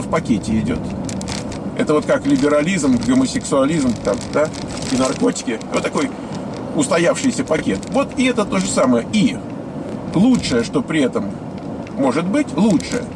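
A man in his fifties from the Soviet Union with a Russian accent, giving a blunt, scornful monologue to viewers, full of hesitations. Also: fairly high pitch. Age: 50s. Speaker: vlogger. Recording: loud outdoor traffic noise.

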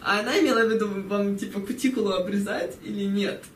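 A woman's voice: mid-range voice, quick pace. A woman in her early twenties from Ukraine, chatting casually as an interview guest, often chuckling and now and then dropping in English words. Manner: giggly, chuckles often while talking. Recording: echoey room, distant mic, faint noise.

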